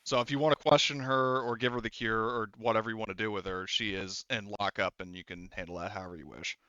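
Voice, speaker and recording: A man narrating a tabletop game as game master in a casual, halting, sometimes profane way. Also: fairly low pitch, fairly even intonation, pushed and bright, clean tone, no echo, lo-fi sound.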